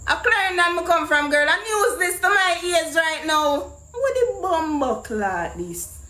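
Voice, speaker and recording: A man's voice: extremely high pitch, very animated, measured pace, bright, forceful tone, full character voice. A man voicing an indignant, pushy young Jamaican woman on a prank phone call, demanding answers and swearing. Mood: indignant, angry.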